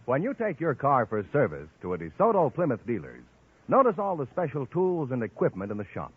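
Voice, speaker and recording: A man announcing in formal, scripted vintage radio style, like a mid-century sales pitch. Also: huge pitch swings, fairly quick, faint music behind. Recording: tinny, band-limited old radio broadcast.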